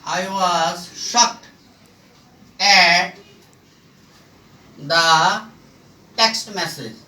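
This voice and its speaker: Casting: grammar teacher, extremely slow and halting delivery, bright forceful voice, echoey room recording. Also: medium pitch. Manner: halting, short phrases, asks and answers own questions. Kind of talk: step-by-step grammar lesson. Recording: echoey room, far from the mic.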